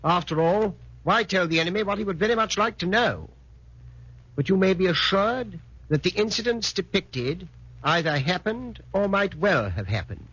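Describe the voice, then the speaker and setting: A man gives a formal, precise radio introduction in 1940s style. His voice is high, sharp and husky, on a dull old recording. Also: animated, normal speed, no echo. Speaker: author giving an introduction.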